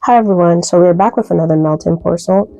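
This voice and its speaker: A woman from Barbados with a softened Barbadian accent, chatting casually through a soap-making tutorial with lots of fillers. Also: lowish voice, quick pace, vocal fry, no room sound, low-quality recording. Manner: relaxed, chatty, many fillers, light laughs.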